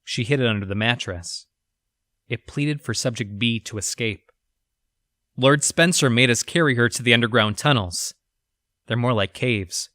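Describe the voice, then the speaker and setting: A man's voice: medium pitch, fairly bright tone, dry recording, clean studio sound, lightly acted. A man playing an anxious, guilt-ridden research scientist, narrating a journal in a subdued, confessional way for an audio drama. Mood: grim, troubled.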